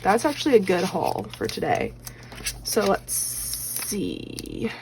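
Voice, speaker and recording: A woman's voice: medium pitch, wildly swooping pitch, slow, slight background noise, low-quality recording. A woman chatting casually as she stuffs cash into budget envelopes. She rambles with lots of fillers, counts bills aloud and is slightly losing her voice. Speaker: budgeting vlogger.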